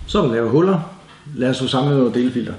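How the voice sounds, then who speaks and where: A man's voice: mid-range voice, expressive. A Danish man presenting a do-it-yourself tutorial in a casual, plain-spoken, explanatory way. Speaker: DIY presenter. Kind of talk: hands-on tutorial narration, casual. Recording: echoey room, faint background noise.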